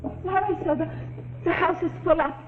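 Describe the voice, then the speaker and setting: A servant girl in an old suspense drama, polite and stubbornly firm. Her voice is very high, ringing in a long echo on a thin, tinny vintage soundtrack with music behind. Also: fairly even intonation, brisk. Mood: polite, unyielding. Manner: deferential but unyielding, formulaic politeness. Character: firm servant girl turning travellers away from an inn. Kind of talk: 1940s live television suspense acting. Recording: tinny, echoing 1949 television soundtrack with music.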